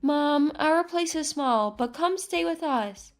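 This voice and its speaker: High-voiced caring daughter, read by a woman narrator. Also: animated, slow, faint music behind, exaggerated voice. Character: earnest, caring grown daughter in a family drama.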